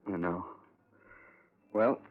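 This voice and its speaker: A nervous American working man, low in pitch, halting and defensive as he answers questions. The style is 1950s radio crime drama, on a thin, narrow-band recording. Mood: shaken, subdued. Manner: halting, anxious, stammering repetitions. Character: nervous, defensive male airport ground crewman under questioning.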